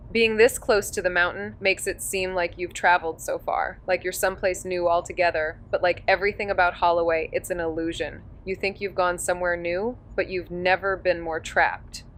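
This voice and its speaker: An actress playing a blunt, teasing young amateur sleuth in an audio drama, casual and insistent in a mid-range voice. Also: female voice, lowish voice, close to an everyday voice. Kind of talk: scripted audio drama dialogue and narration.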